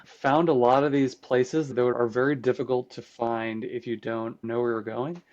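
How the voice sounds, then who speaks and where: A man's voice: medium pitch, flat delivery, normal speed, a little mellow, lo-fi sound. A man whose first language is Croatian, explaining things conversationally as an interview guest. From United States, Croatian immigrant family. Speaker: podcast guest, trip guide and composer.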